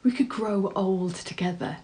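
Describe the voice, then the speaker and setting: A woman's voice: mid-range voice, unhurried, dark tone, natural voice. Actress playing nervous romantic woman, earnest, hesitant, self-deprecating, tender, stage monologue. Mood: tender, hopeful. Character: nervous, tender, rambling woman proposing marriage. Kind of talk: dramatic monologue.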